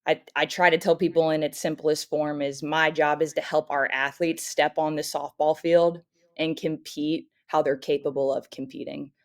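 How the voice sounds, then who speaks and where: A woman's voice: deep voice, level tone, normal speed. Upbeat young woman, coach chatting. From United States (grew up in St. Louis, Missouri). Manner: friendly, upbeat, frequent "um" fillers. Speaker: guest, softball coach. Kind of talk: casual interview chat.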